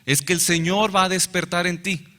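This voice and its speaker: A man interpreting a Bible lecture into Spanish, following the speaker phrase by phrase in a brisk, fluent way. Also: sits high, flat delivery, pushed and bright. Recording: reverberant hall.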